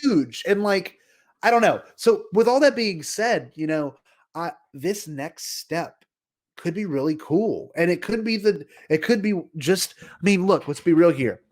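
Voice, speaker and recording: A man's voice: high voice, lively intonation, unhurried, darkish tone. A man co-hosting a podcast in casual fan discussion, speaking enthusiastically and emphatically with plenty of slang. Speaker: podcast co-host.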